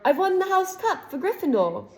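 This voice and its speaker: A frightened young boy wizard who stumbles over his words while bluffing in a high, strained voice, as a woman reading aloud takes him on. He sounds small and cornered. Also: big pitch swings, moderate pace. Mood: nervous.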